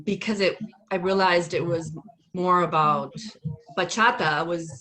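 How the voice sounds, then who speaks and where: A woman who teaches bachata classes, chatting casually in a podcast interview, relaxed and hesitant with many fillers. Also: on the low side, low-quality recording. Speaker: bachata dance instructor.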